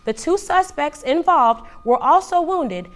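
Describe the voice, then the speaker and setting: A woman presents news stories in a steady, measured way. Her voice is on the high side. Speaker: news anchor. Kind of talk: scripted news reading.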